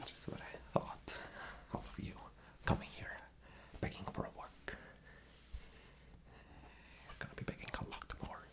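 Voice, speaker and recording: A man playing an arrogant, rude boss in a whispered ASMR interview roleplay, breathy, condescending and dismissive. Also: unhurried, pauses often, close to an everyday voice. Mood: mocking, dismissive.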